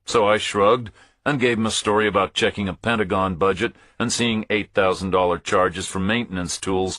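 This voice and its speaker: A man's voice: fairly low pitch, fairly bright tone, no echo. A man narrating a first-person thriller audiobook in a scripted storytelling style.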